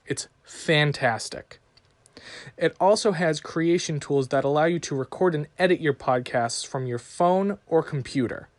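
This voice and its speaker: Slow, dry-recorded man hosting a podcast. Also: fairly high pitch, no echo. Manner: promotional, emphatic. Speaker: podcast host. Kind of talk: scripted sponsor read and show introduction.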